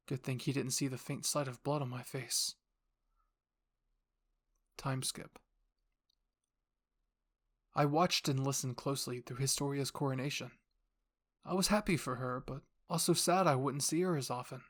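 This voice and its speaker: A storyteller narrates first-person fan fiction in a mid-range voice. The delivery is dramatic and suspenseful, easing quieter for the tense moments. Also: mid-range voice, steady tone, measured pace, long pauses. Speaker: narrator and voice actor of all characters.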